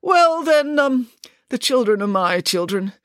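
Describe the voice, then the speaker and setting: A woman's voice: medium pitch, big pitch swings, fast talker, dry recording, clean studio sound, voice pushed up, close to an everyday voice. A woman voicing a stuffy, pompous middle-aged father who is a lawyer, blustering and nervous.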